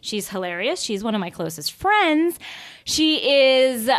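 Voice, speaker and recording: A woman hosting a casual comedic podcast chat, jokey and quick to banter. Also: fairly high pitch, very animated. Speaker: podcast host. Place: small nook in a house.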